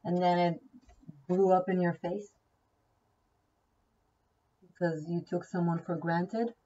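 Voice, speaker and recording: A woman's voice: lowish voice, steady tone, slow, mellow, recorded from a distance, highs cut off, slight background noise. A woman whose first language is Hebrew, giving a casual tarot reading, chatty and thinking aloud, often repeating key words. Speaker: tarot reader.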